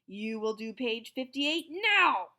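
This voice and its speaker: A grim old schoolmaster with a slow, growling, threatening delivery, put on by a woman reading aloud. He barks his orders loudly and drags out each word. A storybook villain. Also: high voice, natural voice. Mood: menacing. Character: stern, menacing old male schoolteacher.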